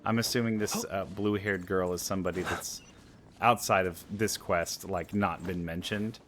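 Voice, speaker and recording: A man's voice: sits low, fairly even intonation, normal speed, fairly bright tone, slight background noise. A man giving casual, rambling let's play commentary, musing aloud as he reacts.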